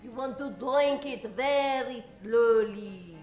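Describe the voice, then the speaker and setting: A woman's voice: fairly high pitch, takes it slowly, darkish tone. A woman playing a mysterious, cautioning, mercenary adventuress in an old-time radio comedy. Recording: echoey stage, faint music.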